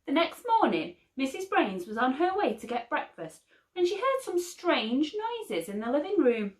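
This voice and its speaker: A woman teacher reading a picture book aloud for children, slow and expressive. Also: high voice. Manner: slow, expressive, lively storytelling. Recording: roomy echo.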